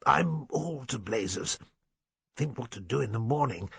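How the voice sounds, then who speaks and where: Guy doing a shaken old english country squire in an audiobook, proud, halting, husky, swoopy pitch. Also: lively intonation, no room sound, exaggerated voice. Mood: halting, shamed. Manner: halting, gruff, old-fashioned.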